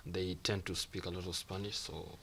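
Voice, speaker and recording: A man's voice: deep voice, moderate pace, gravelly. A young man from Kenya, a student and guest, speaking casually and acting out the reactions he quotes. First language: Swahili. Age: young adult. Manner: relaxed, repeats phrases, acts out quoted reactions. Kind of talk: casual conversational interview. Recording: faint background noise.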